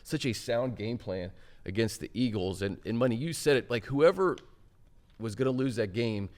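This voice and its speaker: A man's voice: mid-range voice, normal speed, a little mellow. A man hosting a football talk show, discussing and posing questions in a conversational way. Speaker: sports talk show host.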